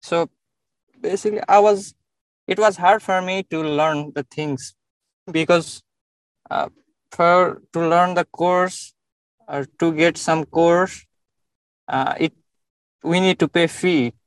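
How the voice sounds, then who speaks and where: A young man from Nepal, speaking in a casual interview, often pausing and searching for words with many fillers. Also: a little high, steady tone, no room sound.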